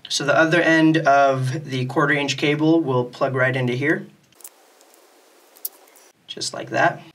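A man's voice: fairly high pitch, steady tone, a little slow, fairly bright tone. A man giving a casual, step-by-step tutorial in a plain, methodical way. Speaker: music tutorial host.

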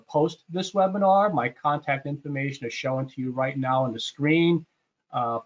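A man hosting a product webinar, explaining slides in an informal, conversational way and often repeating his phrases. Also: fairly high pitch. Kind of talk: informal slide presentation to an online audience. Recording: dull, muffled webinar audio.

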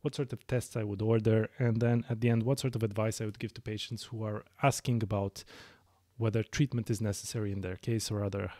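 A man's voice: lowish voice, flat delivery, warm tone, no echo. Romanian-accented man, dry studio sound. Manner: measured, with many fillers and self-corrections. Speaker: lung specialist physician, podcast host. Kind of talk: explanatory medical monologue. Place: small dark studio. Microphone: studio mic on boom arm.

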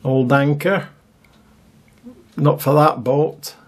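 A man's voice: on the high side, fairly even intonation, takes it slowly. Chatty, wry man musing over his footage. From Scotland. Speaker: vlogger narrating his own footage. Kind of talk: casual voice-over commentary.

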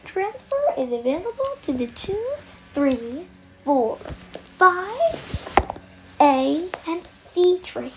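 A woman reading scripted transit announcements in clipped, formulaic, clearly separated phrases. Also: very high-pitched, very animated, slow pace, pauses often. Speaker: train announcer. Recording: noisy recorded train announcements.

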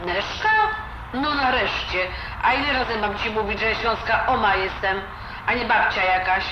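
A woman's voice: on the high side, normal speed, natural voice. An actress playing a feisty old Silesian grandmother in a radio drama, blunt and chiding but affectionate. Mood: mock scolding. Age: older. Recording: dull, roomy, noisy sound.

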